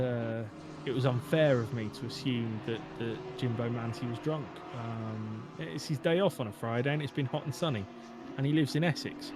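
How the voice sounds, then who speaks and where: A man commentating a race in a loose, chatty way. He drifts between the action and viewer messages, filling gaps with ums and little laughs. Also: mid-range voice. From England. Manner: chatty, rambling, many fillers, reads chat aloud. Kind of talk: casual live race commentary.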